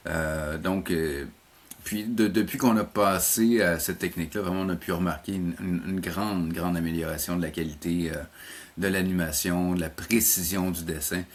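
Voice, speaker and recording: A middle-aged man with a Quebec French accent, an interview guest explaining things in a measured way and rephrasing as he goes. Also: low voice, steady tone. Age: middle-aged or older. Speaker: interview guest, animation teacher.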